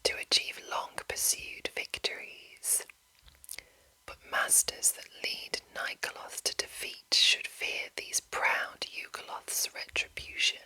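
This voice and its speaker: A woman whispering in a breathy, unvoiced voice as an ASMR host, with careful, gentle enunciation. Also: slow pace, low-quality recording. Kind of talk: whispered ASMR, reading and chatting.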